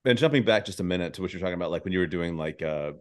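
A man's voice: low voice, expressive, very fast, clean tone. A man hosting a casual podcast interview, asking informal, meandering questions with a hesitant delivery. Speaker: podcast host.

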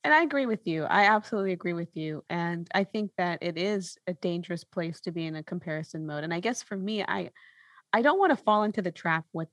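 Female podcast host, slightly low register, dry recording, relaxed conversational reasoning. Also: fairly quick, dry recording. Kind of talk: casual reflective conversation.

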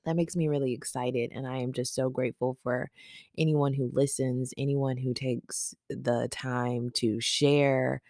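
A podcast host with a raspy morning voice, chatting casually and affectionately to listeners about faith. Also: mid-range voice, little pitch movement, measured pace, darkish tone.

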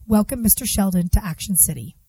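A woman's voice: medium pitch, expressive, fairly quick, dark tone. A woman co-hosting a podcast, reading a guest introduction before moving into chatty interview talk. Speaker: podcast co-host. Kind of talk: scripted bio introduction then casual chat.

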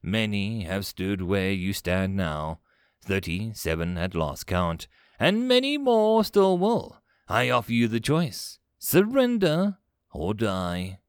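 Man voicing imperious, exasperated alien warlord, wildly animated. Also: lowish voice, slow, clear, resonant tone, dry recording, clean studio sound. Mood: grandly domineering. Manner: pompous, snarling, easily exasperated. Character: imperious, exasperated alien fleet commander with mandibles, male. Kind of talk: sci-fi audio story character.

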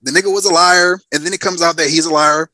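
A man's voice: a little high, steady tone, quick pace, sharp tone, no room sound, poor audio. A man in his thirties, a podcast guest, telling a story in emphatic casual chat and repeating lines for effect. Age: 30s.

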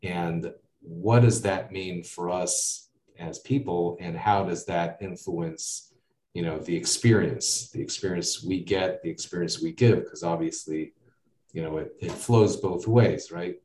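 Deep-voiced American man in an echoey room. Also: measured pace, dark tone. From United States. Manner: rambling, many fillers and asides. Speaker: guest. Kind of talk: casual interview talk. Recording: echoey room, distant mic.

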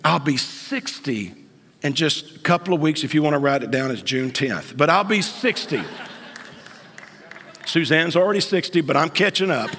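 A man in his late fifties, a pastor preaching a sermon in a church, dropping to whispers and then rising to high, loud outbursts. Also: lively intonation, moderate pace, noisy background. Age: 50s. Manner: swings from hushed whispers to sudden high outbursts. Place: church sanctuary. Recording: long church reverb.

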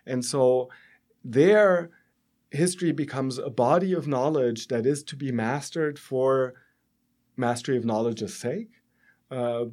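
A middle-aged man from Germany with a German accent, speaking as an academic guest in an interview, haltingly, often checking with a questioning tag. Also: mid-range voice, darkish tone. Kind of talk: interview, explanatory academic discussion.

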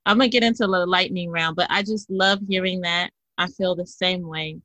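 Affirming woman host, low dry voice. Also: steady tone, moderate pace, no echo, muffled recording. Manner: warm, affirming, preacherly repetition. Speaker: podcast host. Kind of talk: casual chat.

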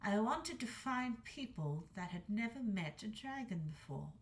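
Storybook role: woman doing a timid young boy dragon, soft and stammering, lively reading voice. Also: medium pitch, a little slow. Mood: meek. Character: young male dragon, meek and sad.

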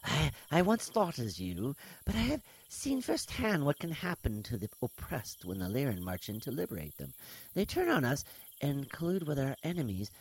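A man plays a knowing reptilian military commander in an audiobook. The voice is husky and pitched well above a deep narrator's, moving in wide arcs, yet stays composed and earnest. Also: huge pitch swings, normal speed, full character voice, low-quality recording. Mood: calm, grave. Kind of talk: audiobook character dialogue.

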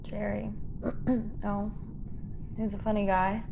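An actress playing a frightened young woman stowaway in a science fiction radio drama, halting and emotional. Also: medium pitch, little pitch movement, creaky, background noise. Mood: wistful, subdued. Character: frightened young female stowaway, pleading, naive and wistful. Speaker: actor in a radio play.

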